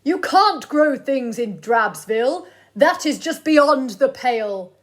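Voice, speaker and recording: A woman voicing a pompous, bad-tempered male chimpanzee mayor in a storybook, bellowing drawn-out commands. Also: high voice, animated. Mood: angry, commanding.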